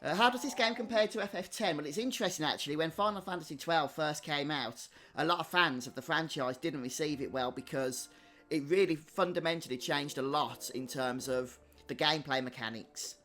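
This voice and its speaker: Man, higher-than-usual voice, chatty, casual gameplay commentary, measured opinions, soft game music behind. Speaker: gaming streamer. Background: game soundtrack.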